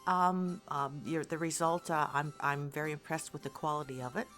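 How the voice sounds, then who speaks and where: Low-voiced woman chatting candidly in an interview. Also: fairly even intonation, normal speed, a bit bright, soft background music. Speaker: interviewed amateur voice actor. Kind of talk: casual interview chat. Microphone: online voice call.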